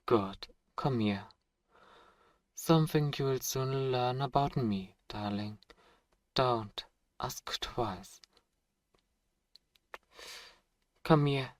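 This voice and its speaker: An ancient, sadistic vampire lord acted by a man speaks slowly and silkily in a medium-pitched voice. He is possessive and condescending, with formal, menacing phrasing. The ASMR roleplay sounds close and very dry. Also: no room sound. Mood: commanding, smug. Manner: silky, menacing, condescending, drawn-out formal phrasing with pet names. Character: centuries-old sadistic, possessive, dominant male vampire lord. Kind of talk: ASMR roleplay audio drama. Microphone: close microphone, dry.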